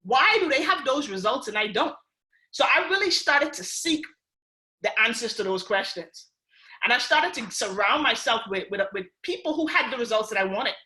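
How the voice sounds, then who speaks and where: A young Bahamian woman with a Bahamian accent gives a motivational pitch to a conference call, animated and casual, often checking in with "right?". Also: normal speed, echoey room, poor audio. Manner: animated, keeps asking "right?" and "y'all", keeping it real. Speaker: speaker on a recruiting call.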